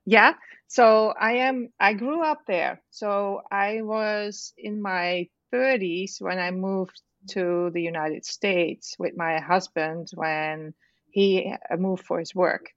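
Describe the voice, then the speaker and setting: A middle-aged woman from the Netherlands speaks slowly in English, her second language, with a clear Dutch accent. She pauses often and restarts her sentences. The sound is dry and slightly dull. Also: medium pitch, fairly even intonation, dry recording. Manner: halting, many fillers and restarts. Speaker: author guest. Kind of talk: casual interview chat.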